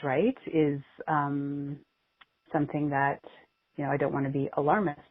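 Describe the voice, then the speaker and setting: A woman's voice: sits low, husky, no echo. A woman moderating a business conference call, talking informally and thinking aloud as she goes. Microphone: telephone. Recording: muffled narrowband teleconference phone line.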